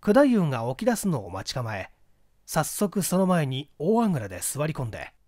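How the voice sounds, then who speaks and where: A man reading literary fiction aloud as an audiobook narrator, in an expressive, dramatic storytelling manner. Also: on the high side, fairly quick, no echo, studio quality.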